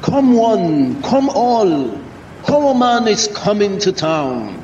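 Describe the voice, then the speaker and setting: A man playing a street announcer who hypes a visiting faith healer in a showy, barker-like way over a car loudspeaker, in a drama. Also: high voice, sing-song, takes it slowly, full character voice. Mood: showy, hyping. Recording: dull, noisy, echoing distant loudspeaker.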